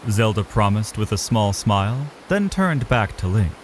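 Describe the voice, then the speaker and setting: Guy narrating fantasy audio drama, lowish voice, very animated, faint background noise. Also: normal speed. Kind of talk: scripted dramatic story narration.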